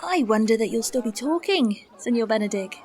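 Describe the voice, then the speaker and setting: A woman's voice: on the high side, expressive, fairly quick, a little mellow, slight background noise, close to an everyday voice. A woman playing a witty, scornful young noblewoman in a Shakespearean radio play. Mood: mocking, amused. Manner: witty, scornful, teasing delivery with laughter. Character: sharp-tongued, mocking, spirited young noblewoman.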